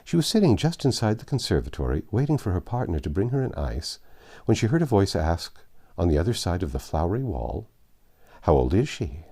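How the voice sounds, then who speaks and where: A man reading a classic story aloud as a narrator, in expressive scripted phrasing. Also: deep voice, a little fast. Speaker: audiobook narrator.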